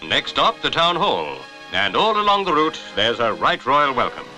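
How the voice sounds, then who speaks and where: A man's voice: mid-range voice, huge pitch swings, normal speed, poor audio. A man with a Received Pronunciation accent reading scripted 1950s newsreel commentary in a jaunty, formal, clipped manner. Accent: British Received Pronunciation. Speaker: newsreel narrator. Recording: vintage newsreel soundtrack with music behind.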